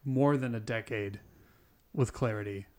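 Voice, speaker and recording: A man's voice: mid-range voice, unhurried, darkish tone. Rambling young man on a podcast. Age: 20s. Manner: rambling, chuckles often. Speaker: podcast co-host. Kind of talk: casual chat.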